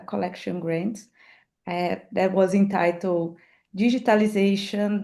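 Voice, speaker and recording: A woman's voice: mid-range voice, a little mellow. Brazilian woman, hesitant introduction. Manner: hesitant, with many fillers and self-corrections. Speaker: professor and herbarium curator. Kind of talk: self-introduction on a webinar. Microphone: video call.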